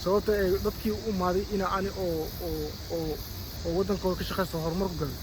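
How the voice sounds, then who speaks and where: Fast high-voiced Somali man vlogging. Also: steady tone, noisy background. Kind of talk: unscripted vlog talk.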